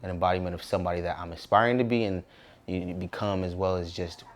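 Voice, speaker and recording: A man's voice: low voice, steady tone, quick pace. A man in his twenties with an American accent, talking casually and reflectively as a guest in an interview. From Southern California, United States. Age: 20s.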